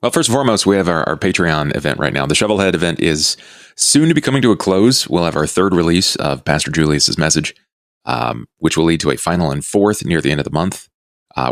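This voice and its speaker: A man speaking as a player on a tabletop role-playing podcast, with measured, rather formal wording. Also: deep voice, expressive, no echo, studio quality. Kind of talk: podcast chat and announcements.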